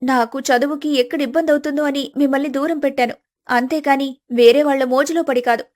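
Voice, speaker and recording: Woman doing a young wife in a family story, really high voice, polite but firm, measured. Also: fairly even intonation, a little mellow, lightly acted. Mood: firm, composed. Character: calm, firm, independent young married woman.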